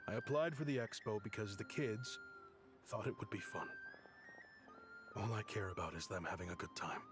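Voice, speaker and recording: An actor playing a gentle, earnest, protective father figure in a game, calm and sincere. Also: on the low side, moderate pace, over music, natural voice. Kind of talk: game voice acting.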